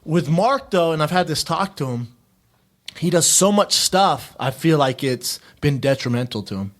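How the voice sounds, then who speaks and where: Podcast co-host, man, voice a bit high, animated and emphatic, chatty repetition. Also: moderate pace. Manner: animated, emphatic, repeats himself. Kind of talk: casual chat.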